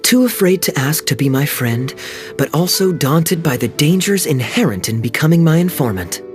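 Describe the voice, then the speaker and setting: A man voicing a charming scheming knight, smooth and teasing, lively pitch swings, faint game music behind. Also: moderate pace, close to an everyday voice. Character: sly charming young male knight, playful schemer. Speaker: video game character. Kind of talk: video game cutscene voice acting.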